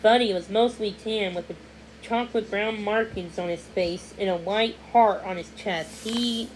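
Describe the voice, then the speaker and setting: A woman reading a children's storybook aloud as narrator, somewhat haltingly, sometimes repeating or correcting a word. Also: medium pitch, background noise. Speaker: read-along narrator.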